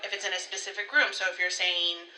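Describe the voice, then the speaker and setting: A woman with a very bright, forceful voice talks through instructions like a trainer. She spells out each step in a conversational way and gives examples as she goes. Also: lowish voice, level tone, quick pace, poor audio. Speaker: co-host, school staff trainer.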